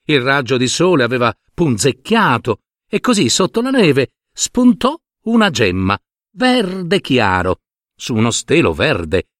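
A male storyteller reads a fairy tale with theatrical, deliberate phrasing. His mid-pitched voice moves widely up and down, and the sound is dry and close. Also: a bit bright, no room sound. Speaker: storyteller, narrator. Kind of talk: expressive fairy-tale narration.

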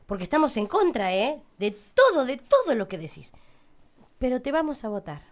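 A woman's voice: high voice, sing-song, moderate pace. A woman playing a gushing, flirtatious small-town councillor in a satirical radio drama.

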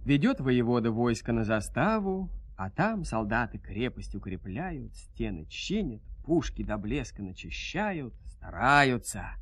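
A man narrating a 1970s audio fairy tale in an animated, folksy, theatrical manner. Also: medium pitch, measured pace, few pauses, husky. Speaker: storyteller narrator.

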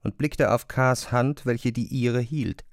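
A man with speech narrating a literary radio drama in a sober, measured storytelling manner. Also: medium pitch, vocal fry, no room sound, clean studio sound.

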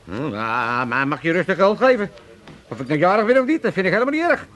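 A man's voice: sits high, sing-song, fast, fairly bright tone. A male actor playing a scrounging old pensioner father, needling and blustering in a radio comedy. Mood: chipper. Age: older. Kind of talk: 1980s comedy radio drama acting.

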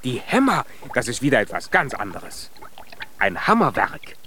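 An actor playing a proud, cheerful young dwarf inventor in a children's radio play, speaking with boastful emphasis. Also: male voice, medium pitch, huge pitch swings, moderate pace, bright, forceful tone, slight background noise. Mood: proud, excited. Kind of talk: children's radio play acting with some singing.

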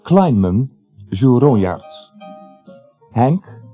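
A man with a husky voice reading a scripted broadcast announcement of play credits. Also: medium pitch, big pitch swings, very slow. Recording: dull lo-fi radio recording. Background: faint music.

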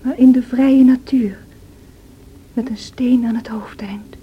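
An actress playing a feverish, wild and distraught young married woman in a radio drama, with a breathy, anguished delivery. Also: on the high side, fairly even intonation, slow, dull audio, heavily acted. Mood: bitter, defiant. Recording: noisy old radio play recording.

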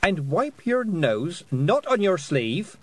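A man's voice: high-pitched, big pitch swings, slow pace, no echo, pitched above the performer's own voice, full character voice. A man voicing a sensible, stern young girl who talks firmly, like a parent, in a storybook reading. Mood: severe. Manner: firm, parental, clipped.

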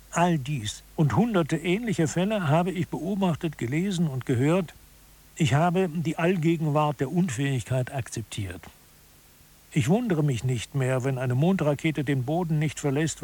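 A disillusioned male author narrates a scripted audio drama like a wry essayist. His voice is slightly high for a man, with a husky grain. He phrases things articulately, as an observer of human failings. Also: darkish tone.

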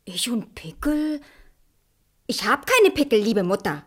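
Young princess, girlish, pert, distrustful, very high, lively swooping pitch, fairy-tale radio play. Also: measured pace. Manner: pert, exclamatory, stubbornly contrary.

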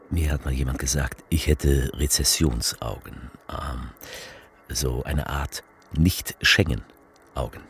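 A man playing a political speechmaker in a theatrical radio piece, addressing the people with halting, emphatic, repetitive rhetoric. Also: a bass voice, slightly rough, exaggerated voice. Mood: brooding, halting.

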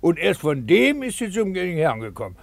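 A muddled, tipsy valet in a 1950s radio play. He drawls evasively in a fairly high, creaking voice that swings up and down. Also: very animated, fairly quick, no echo. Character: befuddled, evasive male valet, boozy simple servant. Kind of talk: 1950s German radio drama acting.

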